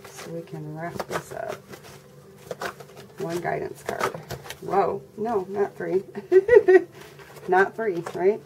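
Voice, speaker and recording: A congested woman giving a casual tarot reading to her viewers in a flat, monotone way. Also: slow pace, vocal fry, long pauses. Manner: monotone, low-energy delivery. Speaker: tarot reader. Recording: noisy recording with birds chirping. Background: birds and background noise.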